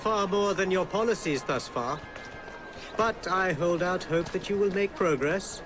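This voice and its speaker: Game role: deposed Indian monarch, eloquent and resolute, slightly high male voice, prominent music underneath. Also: low-quality recording. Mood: calm, gracious. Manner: formal, measured, eloquent. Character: exiled Indian king, dignified, courteous and determined. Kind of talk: video game dramatic dialogue.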